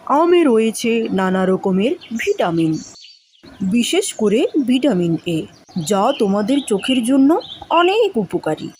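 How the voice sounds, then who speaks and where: A woman's voice: a little high, very animated, unhurried, darkish tone, slight background noise. A woman voicing a proud, friendly young mango that explains itself to children in a cartoon monologue. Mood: earnest, friendly. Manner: instructive, addressing young listeners.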